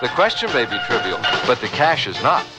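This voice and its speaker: A man's voice: mid-range voice, big pitch swings, fairly quick. A man reading a scripted radio station promotion as announcer, in upbeat sales patter. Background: music.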